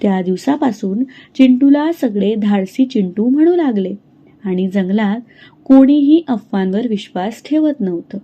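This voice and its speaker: A woman narrating a children's cartoon moral story in a slow, gentle, clear way. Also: medium pitch, highs cut off.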